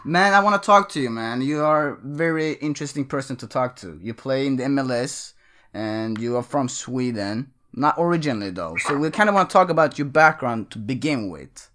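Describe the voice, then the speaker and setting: A man's voice: medium pitch, brisk. Easygoing male podcast host. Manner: relaxed, repeats himself, says "man" a lot. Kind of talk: casual podcast chat.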